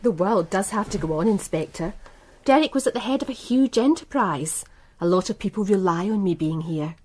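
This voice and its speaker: A woman's voice: mid-range voice, expressive, normal speed, a little mellow, a little hiss or hum, lightly acted. A woman playing a proud, worldly businesswoman with an English accent in a British radio crime drama, composed, articulate and coolly self-assured. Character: poised, proud, worldly businesswoman running a bookmaking empire.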